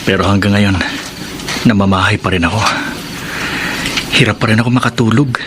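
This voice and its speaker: A man's voice: lowish voice, steady tone, normal speed, noisy background, lightly acted. An actor playing a curious, adventurous young man from the city in a radio drama. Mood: hushed, pensive. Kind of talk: radio drama acting with hushed inner narration.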